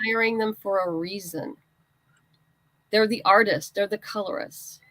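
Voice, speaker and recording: A woman with a medium-pitched voice in a very dry recording, answering as an interview guest. She talks informally and conversationally, illustrating points with little anecdotes and acting out bits of past exchanges. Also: measured pace, fairly bright tone, no room sound, low-quality recording. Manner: informal, explains through anecdotes and quoted exchanges. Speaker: guest, writer and comics creator.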